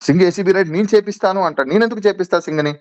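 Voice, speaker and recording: A man's voice: high voice, racing, no room sound, lo-fi sound. A man being interviewed on a recorded call, protesting insistently and repeating himself as he defends his position.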